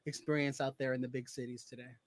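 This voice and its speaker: A man co-hosting a casual wrestling podcast, jokey and quick, laughing between lines and trailing off at the ends of sentences. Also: mid-range voice, level tone, dry recording. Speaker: podcast co-host.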